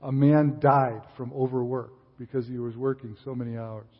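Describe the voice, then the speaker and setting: A man's voice: medium pitch, moderate pace, husky. Older man preaching in a hall. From Chicago area, USA. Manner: relaxed, frequent uh fillers and restarts. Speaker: visiting missionary speaker. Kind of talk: sermon with casual asides. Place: church sanctuary. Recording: distant hall reverb, dull sound.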